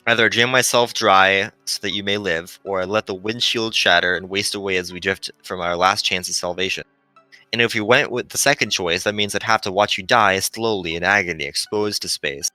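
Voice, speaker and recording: A man voicing a gentle, melancholy female shuttle AI, earnestly explaining as it fades in a visual novel. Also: lowish voice, fairly quick, dry recording, soft background music, low-quality recording. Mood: resigned, tender.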